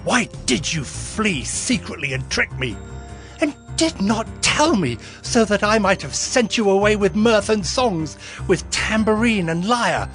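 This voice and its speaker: An actor playing an aggrieved older patriarch in an audio Bible drama, accusing and dramatic. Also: male voice, high-pitched, big pitch swings, a bit bright, faint music behind, heavily acted. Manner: dramatic, drawn-out, accusing delivery.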